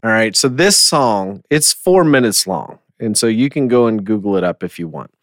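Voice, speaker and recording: A man's voice: on the low side, lively intonation, moderate pace, fairly bright tone, dry recording, faint music behind. Man hosting a podcast, dry close sound. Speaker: podcast host. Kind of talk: casual podcast chat.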